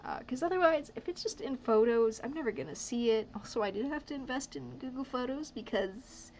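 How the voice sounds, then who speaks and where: A woman's voice: high-pitched, a little fast, darkish tone, a little hiss or hum. A woman in her twenties whose first language is Dutch, chatting casually on a live stream, rambling and muttering asides as she works. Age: 20s. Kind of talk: casual live-stream chat while painting. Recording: slightly dull lo-fi stream audio with faint ambience.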